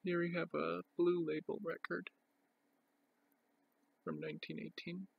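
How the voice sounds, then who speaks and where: A man presenting items from a collection in an unscripted show-and-tell, with a plain, halting, itemising delivery. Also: on the high side, level tone, vocal fry, breathy edge, no echo, lo-fi sound.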